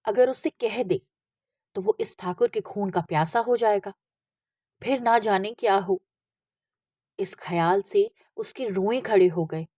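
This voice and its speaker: A woman narrates literary fiction in a husky voice at a slow, measured pace. She reads expressively, and the recording is dry and close. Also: mid-range voice, darkish tone, no echo. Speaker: audiobook narrator. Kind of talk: expressive literary story reading.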